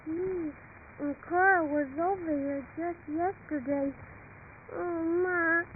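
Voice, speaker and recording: Tinny old radio, earnest scared young boy, whiny, folksy, very high voice. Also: fairly even intonation, a little hiss or hum. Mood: frightened, tearful. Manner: whiny, folksy, slow and pleading. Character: frightened young farm boy, earnest and plaintive. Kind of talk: 1930s radio acting. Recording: tinny 1930s radio recording.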